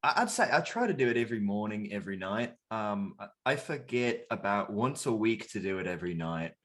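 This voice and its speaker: A man's voice: lowish voice, big pitch swings, a little fast, a bit bright. Hesitant, rambling young man. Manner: hesitant, rambling with many restarts. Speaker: interviewee. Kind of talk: casual interview answers.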